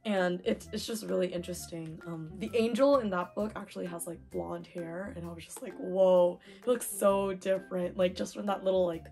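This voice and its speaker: A young woman with a hoarse voice from talking too much, chatting excitedly while unboxing manga. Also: on the low side, moderate pace, hardly any pauses, faint music behind. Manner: chatty, excitable, lots of fillers. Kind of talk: casual unboxing chat.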